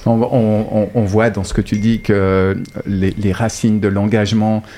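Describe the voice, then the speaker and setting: A male interview host asking questions in a measured way, searching for his words. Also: fairly low pitch, little pitch movement, mellow. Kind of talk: radio-style interview questions.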